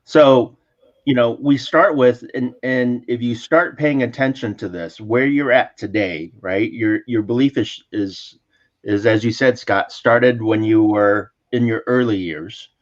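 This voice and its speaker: A man's voice: medium pitch, a little slow, highs cut off. A man co-hosting a casual remote chat who laughs often and repeats words as he searches for them. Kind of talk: casual podcast chat over a call. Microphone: remote call mic.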